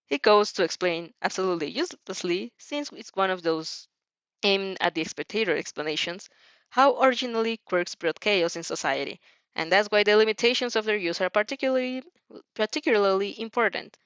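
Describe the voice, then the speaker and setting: A woman reading fan fiction aloud as an expressive audio story narrator. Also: mid-range voice, a little fast, clean tone, dry recording, highs cut off.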